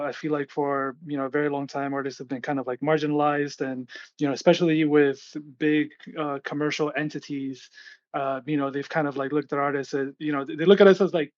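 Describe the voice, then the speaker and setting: Higher-voiced man, even delivery, dull audio. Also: dry recording. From born and raised in Venezuela, grew up in United States. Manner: informal, filler-heavy, explanatory. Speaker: guest, artist collective founder. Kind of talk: casual interview answers.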